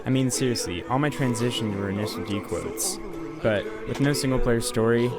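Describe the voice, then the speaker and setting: A man's voice: mid-range voice, a little fast. A young man doing a casual voice-over game review, sarcastic and profane. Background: faint music.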